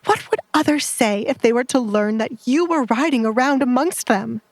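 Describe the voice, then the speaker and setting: A woman's voice: fairly high pitch, moderate pace, no echo, voice pushed up. A woman voicing a haughty, middle-aged noblewoman, a cruel stepmother who scolds with cutting contempt in an audio drama. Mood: scornful anger.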